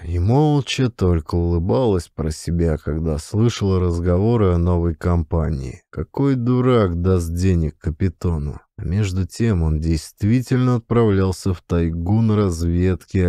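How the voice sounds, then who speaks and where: Male audiobook narrator, deep pitch, dry close sound, dramatic literary reading. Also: mellow, no room sound.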